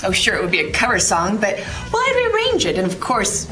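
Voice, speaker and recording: An eager woman talks herself up in a comedy sketch. Her pitch moves around a lot. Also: huge pitch swings, fast talker, pushed and bright, faint music behind, low-quality recording. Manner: eager, self-promoting.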